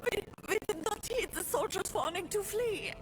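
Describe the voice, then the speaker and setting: A woman's voice: very high voice, expressive, music in the background. A woman playing a distraught, pleading, newly widowed farm mother in a video game, speaking through tears. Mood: tearful pleading. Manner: tearful, pleading, slow emotional delivery. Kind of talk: video game dramatic acting.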